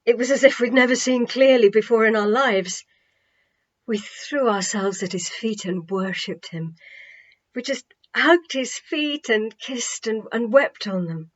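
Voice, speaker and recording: Storybook role: overjoyed devout woman witness, played by a female narrator, rambling and breathless; slightly dull recording. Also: fairly high pitch. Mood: earnest, excited. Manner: breathless, gushing, halting delivery.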